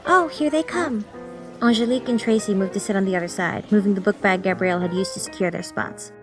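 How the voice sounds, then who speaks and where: A woman's voice: medium pitch, fairly even intonation, fast. A woman reading scripted story narration as an audiobook narrator, with background music. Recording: music behind the voice.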